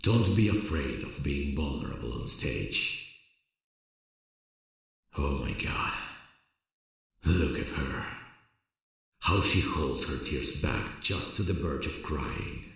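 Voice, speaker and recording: A man playing a gentle, earnest theatre director in love, in an intimate ASMR romance roleplay, speaking in a tender, confiding, hesitant way with a husky voice. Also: rumbling low, expressive, slow pace, long pauses, dry recording, studio quality, full character voice. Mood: instructive, encouraging, then awed, adoring.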